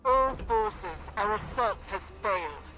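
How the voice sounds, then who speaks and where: An actress playing a stern military officer in a video game, grave and formal. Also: female voice, mid-range voice, lively intonation, slow pace, sharp tone, rough recording. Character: stern female military officer ordering retreat.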